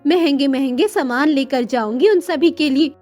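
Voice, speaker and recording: A woman acting a high-voiced, humble poor sister. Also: normal speed, dark tone, faint music behind, natural voice. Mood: determined. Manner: earnest, pleading, deferential. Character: humble, devoted poor sister in old clothes. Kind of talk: Hindi moral-story cartoon dialogue.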